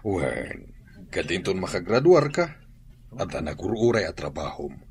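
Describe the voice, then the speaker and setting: A man's voice: low-pitched, big pitch swings, unhurried, a little mellow, a little hiss or hum, full character voice. A man with a Filipino accent playing a courteous hotel owner in an Ilocano radio drama. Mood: polite, businesslike. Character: courteous adult male hotel owner offering work. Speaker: drama actor.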